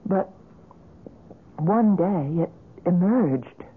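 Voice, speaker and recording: A sensitive young woman in love in a vintage radio drama. Her voice is slightly husky and very expressive, with an earnest, halting delivery. The recording is muffled and narrow, like an old broadcast. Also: on the low side, full character voice. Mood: wistful, troubled. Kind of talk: 1970s radio drama acting.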